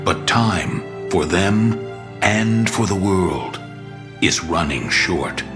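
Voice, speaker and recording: A man acting as the solemn narrator of a dark fantasy video game, speaking in a grave, measured way. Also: low voice, animated, over music, exaggerated voice. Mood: grave, ominous. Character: solemn deep-voiced male narrator of a dark fantasy tale. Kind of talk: scripted dramatic game narration.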